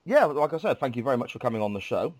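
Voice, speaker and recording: A man's voice: medium pitch, very animated, racing, a little mellow, no echo. A man hosting a podcast interview in a chatty, polite way with frequent ums, sounding congested from a cold. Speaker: podcast host. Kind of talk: casual interview chat.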